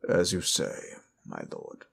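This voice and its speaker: A man voicing a grim undead death knight servant in a fantasy audio roleplay, breathy and terse, with a sullen menace. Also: takes it slowly, a bit bright. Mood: cold, obedient. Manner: terse, menacing, reluctantly obedient.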